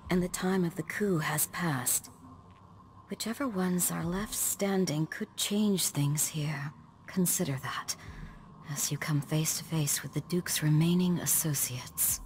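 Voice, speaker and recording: An actress playing an earnest, educated woman leader in a video game, composed, measured and formal. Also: low voice, darkish tone, slight background noise.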